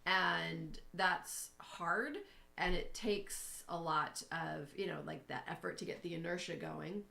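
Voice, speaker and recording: A woman with a sore throat speaks in a fairly low voice, candid and reflective, co-hosting a relaxed live chat. Her voice carries a roomy echo. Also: slow, creaky, soft background music. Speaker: co-host and coach. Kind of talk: casual conversation.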